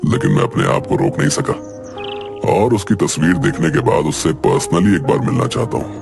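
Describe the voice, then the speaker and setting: A man's voice: very deep voice, wildly swooping pitch, fairly quick, gravelly, over music, heavily acted. A man playing a brash, smitten young college student in a cartoon story. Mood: smitten, insistent.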